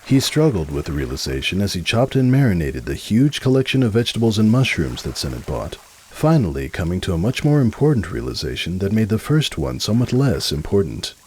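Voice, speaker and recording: A man narrating a scripted story as a dramatic reading, with a deep, husky voice and expressive delivery. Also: a little fast.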